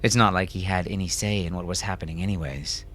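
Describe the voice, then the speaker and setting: A man's voice: deep voice, steady tone, fast talker, fairly bright tone, a little hiss or hum. A man reading scripted fiction as an audiobook narrator.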